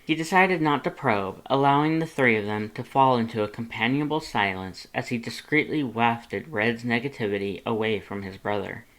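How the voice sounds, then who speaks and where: A man narrates a piece of fiction from a script. His pitch sits in the middle, and he reads as a storyteller would. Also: moderate pace, few pauses, fairly bright tone, rough recording.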